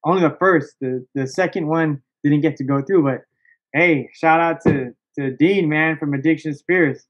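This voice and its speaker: Male podcast guest, higher-pitched, excitable casual storyteller, slangy and sweary, slightly lo-fi recording. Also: moderate pace. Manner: slangy, excitable, profanity-laced, peppered with "bro" and "dog".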